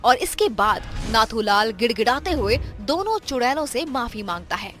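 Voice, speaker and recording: High-voiced woman narrating a story. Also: very animated, normal speed, fairly bright tone, soft background music. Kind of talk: scripted children's horror-story narration.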